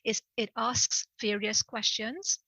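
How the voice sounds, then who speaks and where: A woman's voice: a little high, animated, takes it slowly, bright, forceful tone, husky, no echo, poor audio. A middle-aged woman from Trinidad with a Trinidadian accent, speaking earnestly as a guest coach and repeating phrases for emphasis. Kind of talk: podcast interview conversation.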